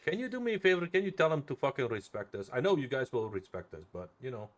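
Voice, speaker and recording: A man's voice: medium pitch, animated, fast. A man streaming an online role-play game, chatting casually and telling stories with lots of swearing and repeated phrases. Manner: profane, chuckling, repeats himself. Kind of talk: casual in-game chat and storytelling.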